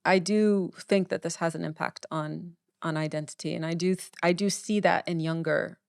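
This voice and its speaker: Smooth-voiced woman writer, close and dry. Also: lowish voice, steady tone, moderate pace, dry recording. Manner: thoughtful, repeats words while forming ideas. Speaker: writer, podcast guest. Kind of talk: candid conversational interview.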